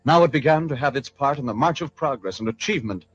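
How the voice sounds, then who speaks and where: A man with a husky voice delivering scripted narration in an old-time radio style. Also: medium pitch, lively intonation, fast, no echo. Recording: muffled vintage radio recording.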